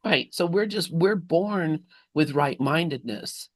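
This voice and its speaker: A man in a spiritual study group, reflecting informally and haltingly as he thinks aloud. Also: a little high, lively intonation, dry recording, studio quality.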